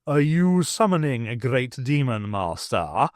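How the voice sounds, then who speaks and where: A man's voice: mid-range voice, sing-song, a little slow, dry recording, studio quality. Man voicing an eerie cauldron spirit. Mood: eerie, servile.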